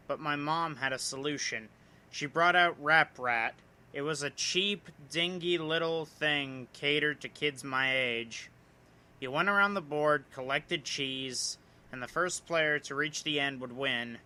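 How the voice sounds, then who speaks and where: A man performs a scripted horror narration. His voice sits a little high and has a bright, tense edge. He leans theatrically on key words, stretching them out for effect. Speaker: narrator reading a horror story.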